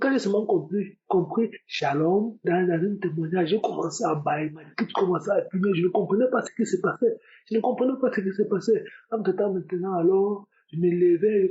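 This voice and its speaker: A young man with a high, soft-toned voice tells his personal testimony, repeating words for emphasis as he goes. The sound is a bit dull and lo-fi. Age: young adult. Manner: repetitive, emphatic storytelling. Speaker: testimony giver. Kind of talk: spoken religious testimony.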